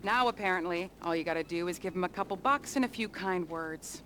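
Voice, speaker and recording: Woman as a scornful, lowish-voiced spy boss. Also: a little fast, a bit bright, a little hiss or hum, natural voice. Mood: contemptuous. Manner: sardonic, condescending. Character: scornful, hard-bitten female intelligence agency boss. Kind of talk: video game cutscene acting.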